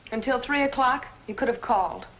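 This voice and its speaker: An actress playing a lonely, devoted young American woman in a 1950s film noir, breathy, hushed, wistful and guarded. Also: a little high, fairly quick, dull audio, close to an everyday voice. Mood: hurt. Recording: old film soundtrack with music underneath.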